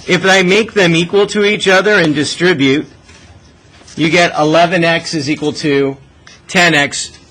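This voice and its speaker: A man's voice: a little high, fairly even intonation, measured pace, pushed and bright, poor audio. A man teaching a class as a math teacher, explaining step by step and often asking questions to check understanding. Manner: asks frequent checking questions.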